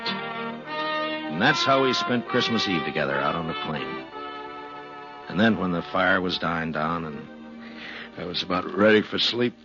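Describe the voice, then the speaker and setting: An American actor playing a quiet, sympathetic Old West marshal in a radio western, understated and reassuring. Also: male voice, sits low, big pitch swings, unhurried, pauses often. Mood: reflective. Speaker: lead actor and narrator. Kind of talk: 1950s radio western acting. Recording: dull vintage 1950s radio recording, music.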